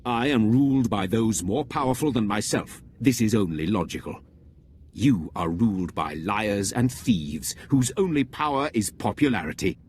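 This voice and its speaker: An actor playing a proud, zealous enemy general in a video game, speaking in a measured, haughty, oratorical way. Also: male voice, fairly low pitch, animated, darkish tone, a little hiss or hum, close to an everyday voice. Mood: persuasive, zealous. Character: proud, zealous male Imperial general, cold villain. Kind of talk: video game villain monologue.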